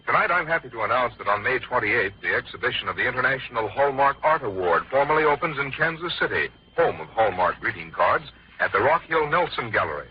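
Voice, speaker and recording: A man's voice: mid-range voice, lively intonation, fast talker. A man announcing on a 1950s radio show, reading scripted promotions in a polished, formal style. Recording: muffled vintage radio broadcast.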